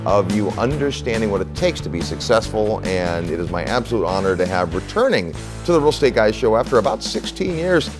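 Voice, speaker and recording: A man's voice: lowish voice, sing-song, a little fast, hardly any pauses, over music. A man hosting a radio talk show, interviewing a guest in a friendly, conversational way.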